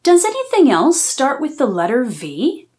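A woman reading a storybook aloud to young children, with expressive, deliberate phrasing. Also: high-pitched. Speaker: children's read-aloud host.

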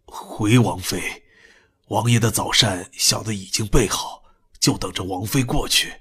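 Husky, deferential palace steward, acted by a man. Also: fairly low pitch, unhurried, bright, forceful tone, heavily acted. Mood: hesitant, apologetic. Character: deferential, hesitant household steward to royalty. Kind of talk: audio drama acting in Mandarin.

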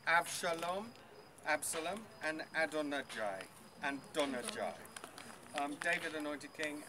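A middle-aged man leading a group tour outdoors, chatty with many ums and quizzing his listeners. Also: a little high. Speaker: tour guide. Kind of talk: outdoor guided tour talk. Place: outdoors by an old stone wall. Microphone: far camera. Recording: noisy outdoor recording.

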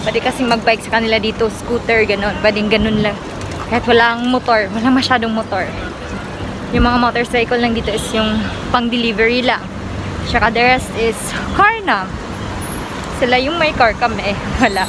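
A woman's voice: on the high side, fairly even intonation, fairly quick. A young woman vlogging on a bike ride, chatty, laughing often and out of breath, filmed on a phone at arm's length outdoors. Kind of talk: casual vlog chat. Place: outdoors on city streets and at a small cafeteria. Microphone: phone camera at arm's length. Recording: traffic noise outdoors.

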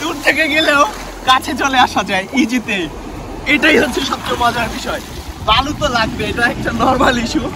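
A man's voice: very high-pitched, a little fast. Chatty young man vlogging from the surf. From Bangladesh. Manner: chatty, loose, with many fillers and English words mixed in. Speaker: travel vlogger. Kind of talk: casual travel vlog to camera. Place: outdoors in the sea and on a beach. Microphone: phone held at arm's length. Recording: windy beach noise and surf.

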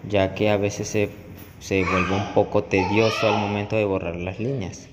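A man with a low voice explains a technique step by step, as a barber would in a tutorial. His delivery stays flat and even. The recording rings with room echo and carries clear background noise. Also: normal speed. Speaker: barber teaching a haircut tutorial. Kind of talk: instructional voice-over tutorial.

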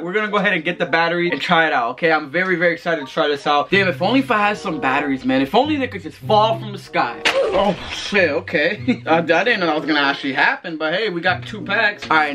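A man in his twenties giving a casual, chatty product review to camera, slangy, sweary and excitable. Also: on the high side. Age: 20s. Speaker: vlogger reviewing merchandise. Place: small bedroom, indoors.

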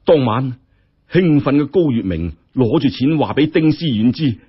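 A man telling a story in colloquial, expressive narration with wry asides. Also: mid-range voice, moderate pace, slightly rough. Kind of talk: dramatic audiobook-style narration. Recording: dull, muffled lo-fi audio.

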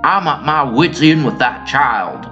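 A man's voice: medium pitch, sing-song, a little slow, sharp tone, highs cut off, faint music behind. A man voicing a weary, exasperated mother in an amateur cartoon sitcom parody, complaining in a fed-up way.

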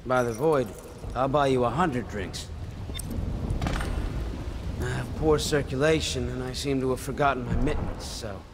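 An actor playing a composed male conspirator in a video game, speaking in a measured, articulate, dryly witty way. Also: medium pitch, background noise, lightly acted. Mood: wry pleading. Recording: music behind the voice.

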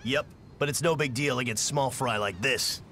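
An actor playing a brash, confident young pirate captain in a video game, with a swaggering delivery. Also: male voice, medium pitch, moderate pace, noisy background, natural voice. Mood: dismissive. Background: music.

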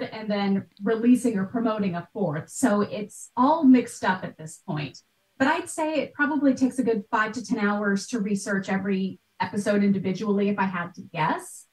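Roomy, distant-miked talkative woman. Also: medium pitch. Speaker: podcast host and art historian, interview guest. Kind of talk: conversational interview answers. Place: small bright room at home. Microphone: computer mic on a video call. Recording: roomy echo, distant mic, video call.

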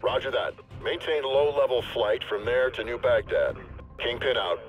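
With music clearly behind, a man acting a military controller speaks in a medium male pitch. His game dispatches are clipped, controlled and procedural. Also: lively intonation, moderate pace, muffled recording, close to an everyday voice. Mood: controlled, businesslike. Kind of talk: video game radio dispatch.

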